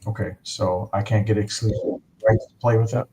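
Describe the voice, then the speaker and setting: A man's voice: lowish voice, steady tone, normal speed, background noise. A man hosting a casual livestream, chatting enthusiastically and rambling with lots of fillers. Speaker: livestream host.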